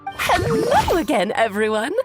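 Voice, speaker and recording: A woman's voice: high voice, wildly swooping pitch, unhurried, music in the background, heavily acted. A woman voicing a whimsical, theatrical witch in an anime game, teasing and airy in manner. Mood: cheerful.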